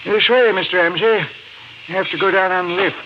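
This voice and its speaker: An actor playing a folksy, halting miner with an American hillbilly accent, in 1950s radio drama style. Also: male voice, high-pitched, natural voice. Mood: folksy, helpful. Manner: folksy, halting, clipped sentences. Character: folksy hillbilly miner, a crude disguise put on. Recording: dull, noisy 1950s radio recording.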